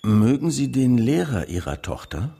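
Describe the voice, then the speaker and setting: Police inspector, radio crime drama, formal, probing, persistent, faint music behind. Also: male voice, mid-range voice, very animated, normal speed, vocal fry, mellow, breathy edge, heavily acted. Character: matter-of-fact, persistent male police inspector.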